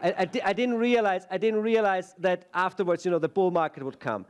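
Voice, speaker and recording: A middle-aged Austrian man with a slightly higher-pitched voice, speaking English with an Austrian German accent. He gives a chatty, self-mocking conference talk into a stage microphone, with lots of ums and restarts. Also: a little fast. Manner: chatty, self-deprecating, many fillers and restarts.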